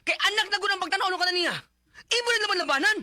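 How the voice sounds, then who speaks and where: A man's voice: very high-pitched, very fast, pushed and bright, dry recording, clean studio sound, full character voice. A man playing an exasperated, hot-tempered stepfather in a Bisaya radio drama, scolding and complaining. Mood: indignant.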